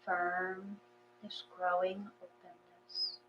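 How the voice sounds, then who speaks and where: Slow, gentle woman leading meditation. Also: on the low side, little pitch movement, dull audio, noisy background. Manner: gentle, unhurried, soothing phrasing with pauses. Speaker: guided meditation leader. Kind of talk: read guided meditation.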